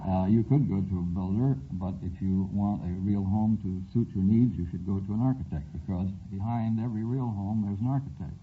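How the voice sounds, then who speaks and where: Low-voiced man on tinny old radio. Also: brisk, hardly any pauses, husky. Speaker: quiz show contestant. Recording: tinny, narrow-band vintage radio recording.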